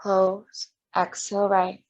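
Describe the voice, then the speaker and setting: A woman yoga instructor leading a guided breathing meditation in short, gentle step-by-step cues. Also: medium pitch, monotone, takes it slowly.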